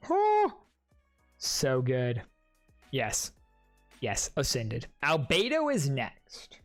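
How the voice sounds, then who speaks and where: A man streaming casual reaction commentary on game trailers, excitable and slangy, gushing as he ranks them. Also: mid-range voice, drawn-out words. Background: trailer music.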